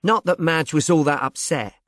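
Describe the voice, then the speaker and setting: A man's voice: fairly high pitch, normal speed, fairly bright tone, dry recording, clean studio sound, natural voice. Gossipy young maid, played by a man. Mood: gossipy, scornful.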